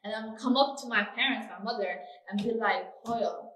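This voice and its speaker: Young woman, Somali first language, casual slangy talk, bright tone, echoey room. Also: a little high, moderate pace, recorded from a distance. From Somali family. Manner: animated, slangy, lots of "like". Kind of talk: casual chat. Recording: echoey room, voice a bit off-mic.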